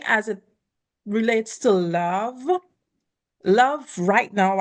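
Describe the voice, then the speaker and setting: A woman from the Caribbean talks as a guest on a conversation podcast. She tells her personal story earnestly and graciously, her voice at an ordinary pitch. Small laughs slip in between her thoughts. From Caribbean, born in Guyana, raised in Barbados.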